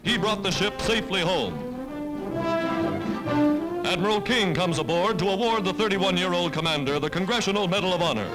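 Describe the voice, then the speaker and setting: A man delivers scripted 1940s wartime newsreel narration. His voice is pitched high and rises and falls in an animated, declamatory way. Loud music plays behind him on the vintage soundtrack. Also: poor audio. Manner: declamatory, patriotic announcer delivery. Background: loud music score.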